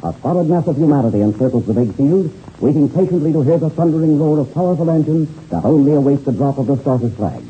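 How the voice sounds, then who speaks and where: A man's voice: fairly high pitch, animated, quick pace, few pauses, slight background noise. A man narrating a 1930s radio serial in a dramatic, formal announcer's manner, on a muffled old recording.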